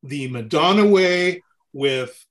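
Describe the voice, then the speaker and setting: A man chatting casually as an interview guest, telling rambling, animated anecdotes in a talkative way. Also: on the high side, slow pace. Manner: gabby, rambling, animated storyteller. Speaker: interview guest, touring keyboard player.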